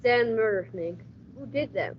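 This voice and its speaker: A woman's voice: on the high side, expressive, measured pace. A teenage woman playing a hard-nosed male police detective in a radio play, narrating in hardboiled style with some stumbles over her script. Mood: dry, hardboiled narration. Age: teens. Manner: stumbles and repeats words while reading. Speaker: school radio drama actor. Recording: dull audio with faint noise.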